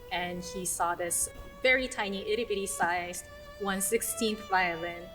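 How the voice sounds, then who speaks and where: A woman's voice: on the low side, poor audio. Woman musician from South Korea over music. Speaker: orchestral violinist. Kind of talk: casual scripted-outline self-introduction to camera.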